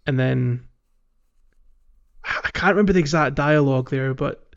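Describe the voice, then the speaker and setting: A man co-hosting a podcast, chatting casually about a video game in a halting, conversational way. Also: mid-range voice, gravelly, muffled recording. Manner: halting, peppered with "like" and "you know". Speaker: podcast co-host.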